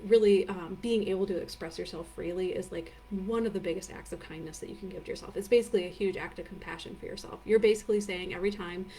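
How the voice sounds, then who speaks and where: A woman's voice: fairly low pitch, fast, hardly any pauses, far from the mic, slight background noise, low-quality recording. A woman leading a live online training, talking casually with many fillers and answering viewer comments. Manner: chatty and warm-hearted, many fillers like "um" and "like"; reads viewer comments aloud and answers them. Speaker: energy healing teacher. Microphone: live video stream.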